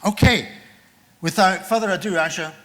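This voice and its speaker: An older man with a loud voice, chairing a conference session and formally introducing a guest speaker after a joking opening. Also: sits high, moderate pace. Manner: jokey, then reads out a guest's biography. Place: conference room.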